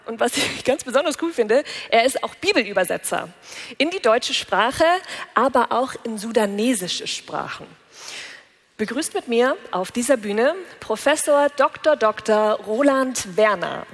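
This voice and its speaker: Higher-voiced female stage moderator. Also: expressive, measured pace. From northern Germany. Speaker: conference moderator. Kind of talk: stage introduction. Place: congress stage.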